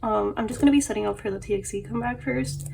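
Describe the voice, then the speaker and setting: A woman's voice: on the high side, quick pace, creaky, dark tone, a little hiss or hum. A young woman vlogging casually about her collection and life, rambling with lots of fillers, her voice a little off from allergies. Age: young adult. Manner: chatty, rambling, many fillers and laughs. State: voice a bit off from allergies. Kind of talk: casual chat to camera.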